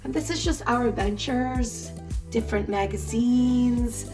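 A woman's voice: fairly high pitch, a little slow, reverberant, music in the background. A Canadian woman in her forties, presenting her family and her vision earnestly as a guest. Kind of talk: personal presentation over a home video.

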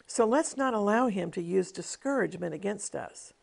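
Earnest woman preacher with a creaky voice. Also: medium pitch, lively intonation, moderate pace, darkish tone. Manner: earnest, emphatic preaching cadence. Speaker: television minister. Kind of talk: devotional teaching with personal testimony.

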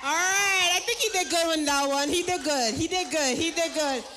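A woman's voice: very high-pitched, normal speed, shouting, very noisy. A woman working as a stage host, hyping the audience with teasing, exclamatory, repetitive calls. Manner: teasing, exclamatory, repeats herself. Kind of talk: hyping a live crowd.

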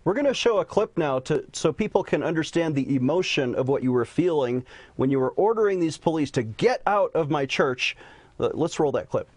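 A man's voice: on the high side, animated, a little fast, darkish tone, slightly rough, no echo. A man hosting a religious news talk show, speaking in a formal, preacherly way.

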